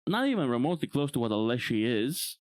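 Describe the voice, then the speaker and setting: A man's voice: medium pitch, sing-song, fast, dry recording. A young man from Bulgaria, a native Bulgarian speaker, chatting casually on a live stream, rambling and chuckling often. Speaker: VTuber streamer.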